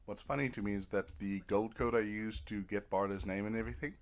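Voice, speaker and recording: A man's voice: lowish voice, steady tone, a little fast. A man giving casual playthrough commentary as host of a gaming video, with offhand, mildly mocking asides.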